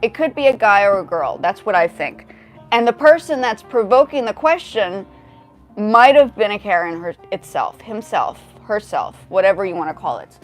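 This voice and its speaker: A woman's voice: medium pitch, very animated, normal speed, faint music behind. A woman in her forties hosting a casual livestream, talking to chat in a rambling way with many restarts. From grew up in South Africa, the United States and Japan. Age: 40s. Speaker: livestream host.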